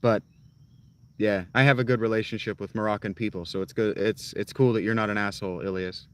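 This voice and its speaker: A man chats loosely with his live viewers, wandering from one tangent to the next. His voice sits a bit low, and he swears freely as he talks. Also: steady tone, brisk, a bit bright, smooth. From North Carolina. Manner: rambling, profane, talks straight to his chat. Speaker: live streamer. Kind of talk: casual chat with live viewers.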